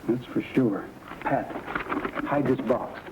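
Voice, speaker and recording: An actor playing a calm, commanding American cowboy rancher in an old western, earnest and brisk in his orders. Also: mid-range voice, lightly acted. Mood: decisive. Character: calm, authoritative young cowboy rancher hero. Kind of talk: old western film dialogue acting. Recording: dull, noisy vintage western soundtrack.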